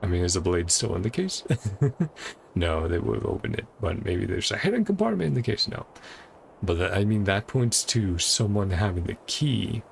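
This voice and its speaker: Low-pitched man with lively, gravelly delivery. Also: moderate pace, slight background noise. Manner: musing aloud, speculating to himself. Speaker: gaming content creator playing through a story quest. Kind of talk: casual playthrough commentary, reading game text aloud.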